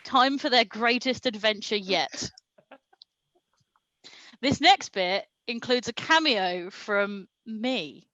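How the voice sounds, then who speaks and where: A woman's voice: a little high, lively intonation, takes it slowly, pauses often, bright, forceful tone, no echo, dull audio. A woman doing a comedic reading of fan fiction aloud in a mock-serious manner, prone to breaking into laughter. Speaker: reader at a comedy show.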